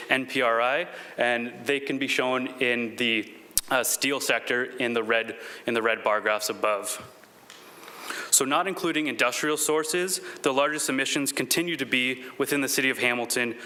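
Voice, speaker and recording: A man giving a formal presentation to a city council as a staff coordinator, polite and a little halting. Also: medium pitch, sharp tone.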